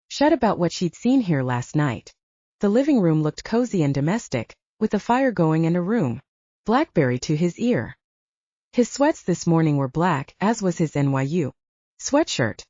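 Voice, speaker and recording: Mellow, lively woman narrating fiction. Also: fairly low pitch, dry recording, muffled recording. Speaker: audiobook narrator.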